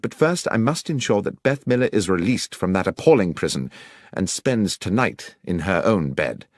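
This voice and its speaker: A man voicing a brisk, assured detective who explains his deductions precisely and with authority, as audiobook dialogue. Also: deep voice, expressive, no echo, clean studio sound. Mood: assured, explanatory.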